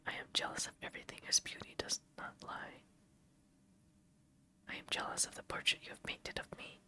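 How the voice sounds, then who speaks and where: A reader whispering the part of a vain, despairing young man in an audiobook. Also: moderate pace. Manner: soft whisper.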